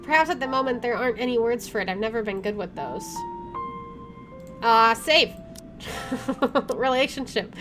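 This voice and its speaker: Girl doing a lets play, reads the story out and reacts, kinda high voice, music playing behind. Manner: expressive, reacts aloud to the story. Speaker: let's play streamer. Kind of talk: game commentary and read-aloud narration. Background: game music.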